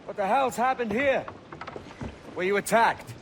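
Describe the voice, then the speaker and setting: An actor playing a bold, brash young pirate captain in a video game, calling out forcefully. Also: male voice, sits high, animated, measured pace, a little hiss or hum. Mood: alarmed, determined. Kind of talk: cinematic video game acting.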